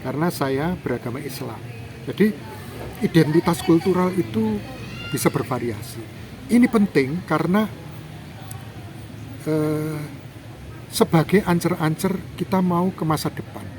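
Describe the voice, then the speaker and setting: Male guest from Java, lecturing interview style, somewhat high voice, recorded outdoors. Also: unhurried, pauses often, slight background noise, rough recording. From Java, Indonesia. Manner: lecturing, emphatic, lively rises in pitch for examples. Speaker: cultural expert guest. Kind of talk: explanatory interview talk.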